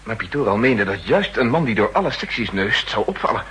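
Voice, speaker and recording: An actor playing a worried, earnest official seeking help, speaking formally and tensely in a 1960s radio drama. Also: male voice, fairly low pitch, sing-song, fairly quick, fairly bright tone, slightly rough, exaggerated voice. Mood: dismayed. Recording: noisy, humming old radio recording.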